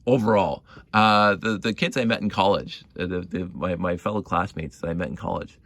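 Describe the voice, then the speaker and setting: A middle-aged man telling nostalgic stories casually, rambling and halting, repeating words and often given to chuckling. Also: sits low, gravelly, a bit bright, no room sound. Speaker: hobby video creator. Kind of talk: casual rambling storytelling to camera.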